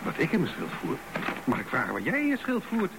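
Jealous, insinuating middle-aged husband. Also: male voice, a little high, huge pitch swings, fast talker, vocal fry, heavily acted. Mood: accusing, evasive. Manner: probing, insinuating questioning. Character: jealous, suspicious husband in his fifties. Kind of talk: radio drama acting. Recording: noisy radio broadcast recording.

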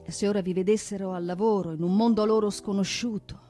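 A woman giving a solemn, theatrical recitation of poetry and prose in a deliberate, dramatic manner. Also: medium pitch, fairly even intonation, mellow. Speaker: stage performer, actress and singer. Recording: piano music under the voice. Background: piano accompaniment.

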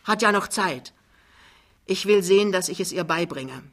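Blustering big-man hustler played by a female reader: jovial, chummy, colloquial, fast-talking audiobook character. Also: lowish voice, a bit bright. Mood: confiding, chummy. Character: big, boisterous, fast-talking male wheeler-dealer, jovial. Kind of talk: dramatized literary reading.

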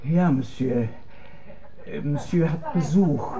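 An actor playing a formal manservant in a radio drama, stiffly polite and servile. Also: male voice, on the high side, slow pace, crowd noise. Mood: deferential. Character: formal, deferential manservant of a writer.

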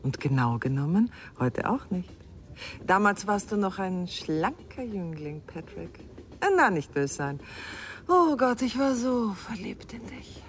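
An actress in a radio play playing an elegant, affectionate, teasing middle-aged bride. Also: female voice, mid-range voice, big pitch swings, a little slow, a little hiss or hum. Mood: teasing, tender. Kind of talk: 1990s German radio play acting.

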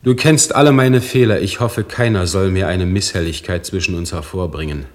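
Deep, grave councillor, acted by a man. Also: lightly acted. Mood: grave, formal. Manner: formal, measured. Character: grave government councillor, loyal friend turned dutiful official. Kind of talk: German radio drama acting.

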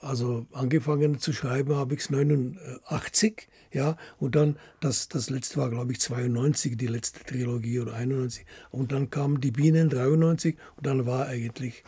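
An older man, a writer being interviewed, talking haltingly as he searches for words and often adding little tag questions. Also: medium pitch, steady tone, dark tone, breathy edge, no room sound. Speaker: radio play writer as interview guest. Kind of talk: unscripted interview chat.

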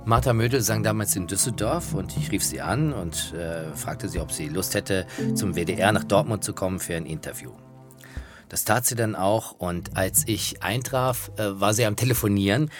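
A man with a low voice tells anecdotes as a presenter. He speaks conversationally and with enthusiasm, pausing to search for words, and music plays clearly behind him. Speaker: presenter and interviewer telling anecdotes. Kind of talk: conversational storytelling.

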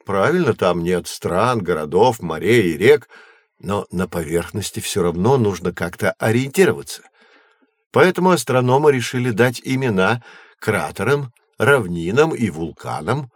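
Astronomer guide, slightly deep, dry close sound, didactic and patient, for a children's educational audio play. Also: male voice, sing-song, no room sound. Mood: explaining. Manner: measured, didactic, clearly enunciated. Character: patient, learned male astronomer guiding children. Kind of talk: scripted educational audio drama.

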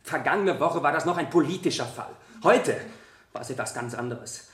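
High-voiced, scornful fanatic on the stand. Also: male voice, animated, moderate pace, fairly bright tone. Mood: bitter, contemptuous. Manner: heated, lecturing, contemptuous. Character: scornful, fanatical political witness, contemptuous of everyone around him. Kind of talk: 1970 German radio play acting. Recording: roomy, echoing studio courtroom.

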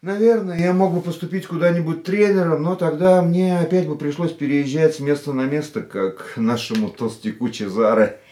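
Actor as jealous, insistent lover, echoey room. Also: male voice, fairly high pitch, steady tone, normal speed, few pauses, warm tone, close to an everyday voice. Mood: uncertain. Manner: insistent, questioning, earnest. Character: jealous, anxious lover, an aging racing driver. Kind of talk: radio play acting.

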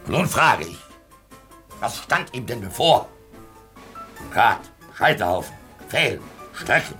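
An actor in a German radio play playing a drunken, down-at-heel lawyer who rambles, preaches bitterly and slides into slurred muttering. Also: male voice, medium pitch, very animated, takes it slowly, sharp tone, soft background music, full character voice. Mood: fervent preaching. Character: drunken, down-at-heel Russian lawyer, bitter self-styled prophet.

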